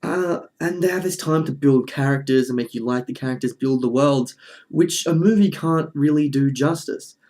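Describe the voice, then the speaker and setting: Mellow-voiced man giving casual commentary. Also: medium pitch, normal speed. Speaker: presenter of a film review video essay.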